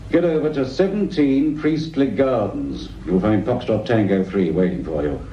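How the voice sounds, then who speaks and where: A man's voice: fairly low pitch, expressive, moderate pace, a little hiss or hum, close to an everyday voice. A middle-aged man with an English accent playing a stern, disapproving police superintendent in a radio drama, curt and cutting. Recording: roomy, distant, dull radio drama recording.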